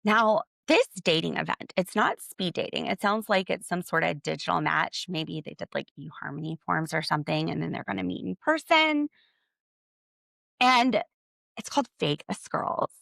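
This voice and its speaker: A woman hosting a podcast alone, recapping a reality show in a chatty, sarcastic way with jokey asides and wide swings in pitch and pace. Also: lively intonation, normal speed, creaky, a bit bright, dry recording. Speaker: podcast host. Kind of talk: solo recap commentary.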